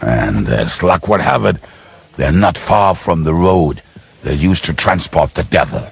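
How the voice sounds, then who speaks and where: An actor voicing a boozy, cheeky middle-aged ruffian in a video game, with a gravelly, husky voice and a rambling manner. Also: male voice, extremely low pitch, animated, measured pace, full character voice. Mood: wry, rambling. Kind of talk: video game character acting.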